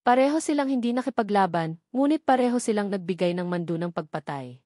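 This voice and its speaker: A woman's voice: medium pitch, expressive, fast talker, warm tone, dry recording, studio quality. A woman reading scripted story narration in the manner of an audiobook. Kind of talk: scripted audiobook-style narration of a web novel.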